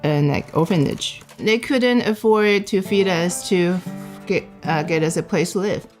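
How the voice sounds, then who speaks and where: A woman, chatty and quick to laugh, speaking in a documentary interview. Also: lowish voice, faint music behind. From Taiwan.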